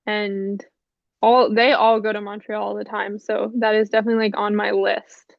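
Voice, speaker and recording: A woman's voice: medium pitch, normal speed, creaky, dry recording. Brief: young woman, mildly stuffy, easygoing rambling talker, dull lo-fi call sound, dry. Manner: relaxed, rambling, many fillers and soft laughs. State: a little stuffy. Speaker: musician guest. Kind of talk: casual interview chat.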